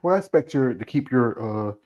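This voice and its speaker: A man's voice: mid-range voice, expressive, a little fast, a little hiss or hum. An American man hosting an interview in a casual, chatty way. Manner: casual, chatty, uses "you know" often. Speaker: podcast host. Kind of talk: interview host chat. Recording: dull lo-fi sound.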